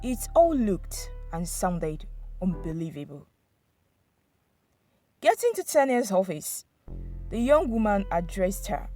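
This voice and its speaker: A woman's voice: medium pitch, big pitch swings, slow pace, long pauses, darkish tone, faint music behind. A woman narrating a scripted dramatic folktale as a storyteller.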